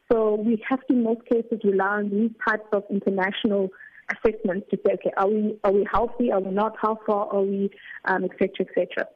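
A woman's voice: mid-range voice, breathy edge. Woman on a tinny phone line. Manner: explanatory, hesitant with many fillers and restarts. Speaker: CEO of an education nonprofit, guest. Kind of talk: radio phone interview.

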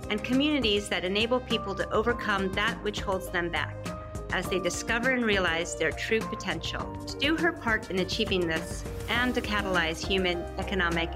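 Polished podcast host woman over music. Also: medium pitch. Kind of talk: scripted intro and interview questions. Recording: music bed under the voice.

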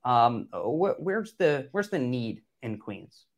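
A man's voice: medium pitch, big pitch swings, takes it slowly. A man co-hosting an interview, asking a question hesitantly while thinking aloud.

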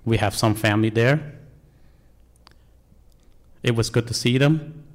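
Male, forties, Cambodian accent, medium pitch. Earnest, deliberate delivery of a prepared personal story in second-language English. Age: 40s. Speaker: National Guard member telling life story.

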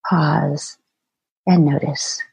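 Female meditation guide, low and very slow, soothing, deliberate pauses between phrases. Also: fairly even intonation, creaky, a little mellow, breathy edge.

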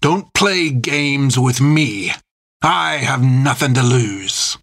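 A man voicing a cruel older pirate captain who spits his words out in a seething, shouting rage. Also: medium pitch, animated, takes it slowly, gravelly, slightly rough, no room sound, studio quality, heavily acted. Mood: menacing.

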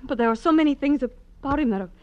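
Actress as a genteel, troubled young wife. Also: female voice, high voice, fast, dry recording, muffled recording, lightly acted. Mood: hesitant, distressed. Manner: polite, hesitant, earnest, genteel. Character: refined, troubled young wife, kind and considerate. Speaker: client in a radio detective drama. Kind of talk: 1940s radio acting.

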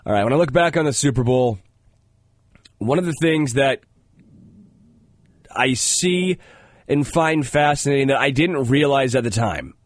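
A man's voice: mid-range voice, expressive, a little slow, long pauses, no echo, studio quality. A male sports radio host, congested, talking earnestly with a lot of fillers. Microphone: studio microphone.

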